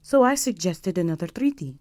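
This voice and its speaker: A woman's voice: fairly low pitch, lively intonation, brisk, a little mellow, close to an everyday voice. A woman playing a regal, possessive vampire countess in an intimate audio roleplay, speaking to the listener in a formal, imperious and seductive manner. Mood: commanding, persuasive.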